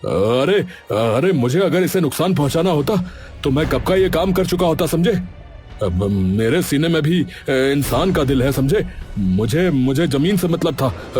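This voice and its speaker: Blustering village headman played by a man, very animated. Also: a little high, moderate pace, close to an everyday voice. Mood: indignant. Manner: stammering bluster, threatening. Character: blustering, defensive, scheming male village headman. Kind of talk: Hindi cartoon village story acting. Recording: music behind the voice.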